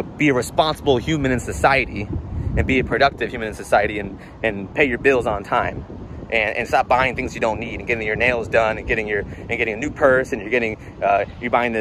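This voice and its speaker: A young man talking off the cuff in a casual vlog, blunt and emphatic, repeating himself to drive points home as he walks outdoors. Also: mid-range voice. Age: young adult. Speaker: car vlogger giving money advice. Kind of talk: casual unscripted vlog rant. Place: outdoors, walking while recording. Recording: outdoor recording with street noise.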